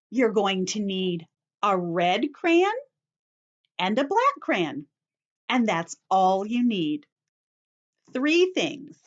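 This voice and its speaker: A woman reads and explains to young children as a story-time teacher. Her pace is slow and deliberate, and her pitch moves in big animated swings. She keeps her phrases short and simple, stressing key words. The recording sounds slightly dull and lo-fi. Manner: simple short phrases, addressing children directly, careful emphasis. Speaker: children's teacher reading a picture book.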